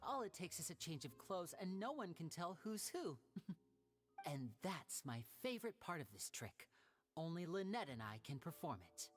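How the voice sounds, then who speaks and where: Young showman magician, lowish for female range, animated, poised; game dialogue over music. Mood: smooth showmanship. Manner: theatrical, measured explaining. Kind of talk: anime game dub dialogue.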